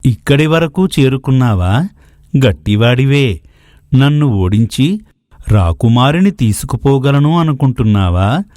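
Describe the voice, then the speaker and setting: A gloating, shape-shifting demon in holy man's guise, acted by a man, taunts in a theatrical storybook style. The delivery is slow and drawn out, in a dry, close recording. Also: medium pitch, expressive, darkish tone, no room sound, close to an everyday voice. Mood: taunting, gloating. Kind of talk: Telugu moral story voice acting.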